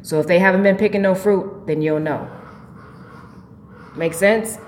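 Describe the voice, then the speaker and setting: A woman's voice: medium pitch, measured pace. A woman hosting an informal spiritual talk show, giving a preachy, emphatic monologue with rhetorical questions. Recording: echoey, reverberant room with faint noise.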